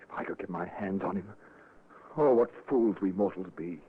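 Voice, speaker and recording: An actor playing a polite, shocked and grieving husband, acted emotionally for an old radio drama. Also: male voice, deep voice, moderate pace, slightly rough. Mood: bitter, subdued. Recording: muffled, lo-fi old radio recording.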